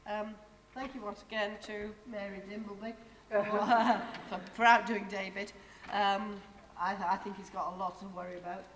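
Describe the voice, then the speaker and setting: A woman giving an effusive, grateful closing speech as outgoing chair, her voice going hoarse. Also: medium pitch, flat delivery, moderate pace, slight background noise. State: losing her voice. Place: large conference hall. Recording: distant mic in a reverberant hall.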